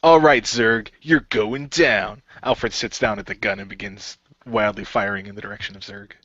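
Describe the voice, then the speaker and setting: A man's voice: lowish voice, steady tone, normal speed, a bit bright, a little hiss or hum. A man improvising dialogue and narration for a radio play in a casual, playful way. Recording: dry, dull lo-fi microphone.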